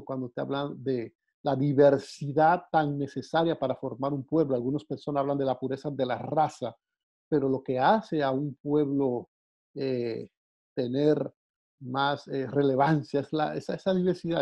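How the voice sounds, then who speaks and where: A man's voice: mid-range voice, creaky, dark tone. A man from the Dominican Republic teaching a Bible lesson off the cuff, with frequent hesitations and repeated words. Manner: halting, many "eh" fillers and repeated words. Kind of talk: unscripted Bible class talk. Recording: dull lo-fi sound.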